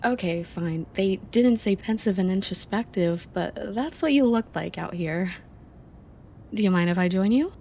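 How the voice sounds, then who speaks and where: A young woman playing an affectionate, teasing friend in an intimate ASMR roleplay, speaking softly and expressively. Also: medium pitch, measured pace, slight background noise, close to an everyday voice. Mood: warm, apologetic. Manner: soft, playful, expressive.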